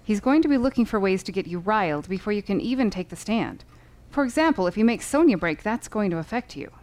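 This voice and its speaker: A woman playing a brisk, exacting lawyer coaching witnesses in an audio drama, authoritative and instructive. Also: mid-range voice, lively intonation, darkish tone, close to an everyday voice.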